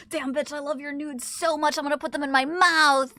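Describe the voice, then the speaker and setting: A woman's voice: high voice, steady tone, moderate pace, sharp tone, no echo. A young woman streaming, chatting casually with viewers, teasing and swearing freely. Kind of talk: casual live-stream chat reading viewer comments.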